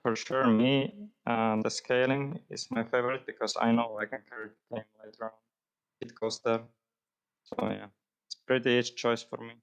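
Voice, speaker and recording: A man's voice: medium pitch, flat delivery, normal speed, clean tone, poor audio. A man being interviewed after a match as an esports player, giving brief and hesitant answers.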